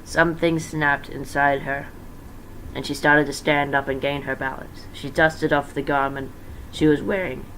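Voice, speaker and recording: A man's voice: on the high side, flat delivery, moderate pace, pushed and bright, poor audio. A young man reading fan fiction aloud as an informal dramatic narration, breaking off for casual asides. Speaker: amateur fan-fiction reader. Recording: faint background noise.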